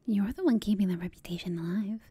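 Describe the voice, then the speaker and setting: Casting: actress as teasing female villain, ASMR roleplay. Very breathy whisper, soft mellow tone, coaxing and confident, close and dry. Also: medium pitch, quick pace. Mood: flirty, confident. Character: flirtatious, teasing female villain, confident yet secretly inexperienced. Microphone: close mic, dry.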